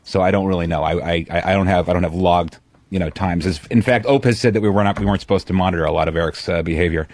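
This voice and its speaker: A man's voice: deep voice, quick pace, a little mellow. A man speaking as an executive producer mediating a workplace dispute, hesitant and hedging as he explains.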